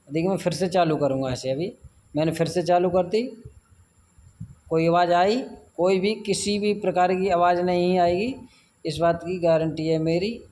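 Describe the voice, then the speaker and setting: Higher-pitched man, noisy tutorial recording. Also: a little mellow. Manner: repeats phrases and instructions as he goes. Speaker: tech tutorial host. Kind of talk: informal step-by-step phone tutorial. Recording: noisy amateur recording with background ambience.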